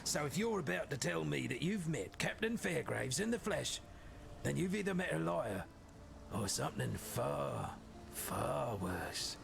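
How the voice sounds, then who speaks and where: An actor voicing a gruff, skeptical townsman in a video game, with a gravelly, creaky voice. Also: on the high side, big pitch swings, measured pace, full character voice. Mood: skeptical, ominous. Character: skeptical, gruff townsman wary of tall tales. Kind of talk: scripted video game character dialogue. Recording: clear background noise and ambience.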